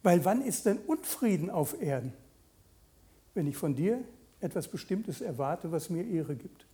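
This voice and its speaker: Male preacher, late 50s, voice a little high, conversational sermon delivery with direct questions and mid-sentence pauses. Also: sing-song, warm tone.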